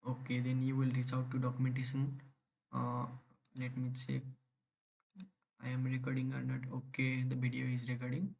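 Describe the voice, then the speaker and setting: A young man from Nepal speaks English with a Nepali accent in a near-monotone, his voice gravelly with fry. He explains an online form as he clicks through it, halting often with fillers and self-corrections. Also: mid-range voice. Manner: halting, many fillers and restarts. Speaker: vlogger walking through a university application. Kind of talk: screen-recorded tutorial.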